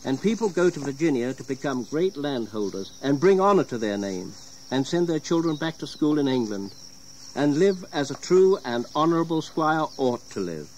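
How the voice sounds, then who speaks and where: A man's voice: a little high, sing-song, moderate pace, a little mellow, slight background noise. An actor playing a stern, disappointed English squire and father, grave and formal, in an audio history drama.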